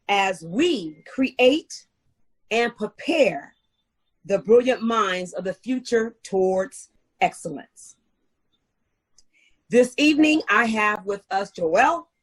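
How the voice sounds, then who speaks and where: A woman's voice: medium pitch, husky. A woman hosting a community interview, reading a solemn scripted introduction before asking questions. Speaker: host.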